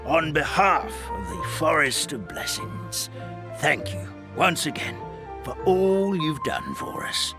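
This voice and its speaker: A man's voice: on the high side, very animated, takes it slowly, heavily acted. A man voicing a kindly, courteous old grandfather of forest folk in a video game, speaking in formal, flowery phrases. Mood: solemn, grateful. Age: older. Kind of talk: theatrical game dialogue. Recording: music behind the voice.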